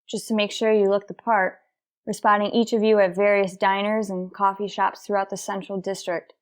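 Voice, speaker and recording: A woman's voice: medium pitch, level tone, moderate pace, natural voice. A woman playing a terse, dutiful police detective in an old-time radio crime drama, clipped and matter-of-fact. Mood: brisk, commanding. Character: terse, dutiful female police detective narrating her case.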